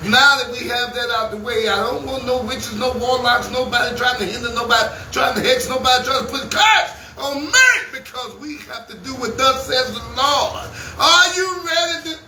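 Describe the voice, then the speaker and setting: A man in his thirties hosting a lively morning radio show, talking in a playful, preacherly way with rhymes. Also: extremely high pitch, moderate pace, few pauses, echoey room, distant mic, slight background noise, poor audio. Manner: preacherly, rhyming, playful banter.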